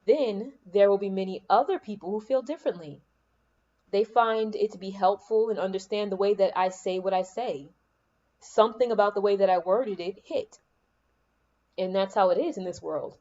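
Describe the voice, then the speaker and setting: A woman hosting a podcast of spiritual encouragement in a soothing, calming voice. She talks in an unhurried, reflective way and repeats key lines for emphasis. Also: medium pitch, lo-fi sound. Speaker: podcast host and spiritual coach. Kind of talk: spiritual motivational monologue. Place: room at home with air conditioning on. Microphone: laptop microphone.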